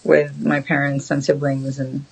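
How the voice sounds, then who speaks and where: Hesitant woman, slightly gravelly. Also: very deep voice, monotone, unhurried, a little mellow, rough recording. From Syria. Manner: hesitant, thoughtful, many ums. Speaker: guest. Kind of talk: casual interview chat.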